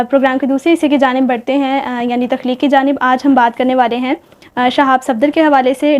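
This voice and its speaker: A woman's voice: high-pitched, a little mellow, highs cut off. A Pakistani woman co-hosting a literary programme in a polite, formal, deferential style. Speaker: co-host.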